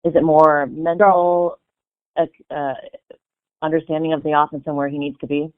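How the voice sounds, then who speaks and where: A man's voice: on the high side, flat delivery, moderate pace, clean tone, no room sound. A man working as a sports reporter, putting questions at a press conference over a phone line. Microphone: phone conference call. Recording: thin phone line, dry.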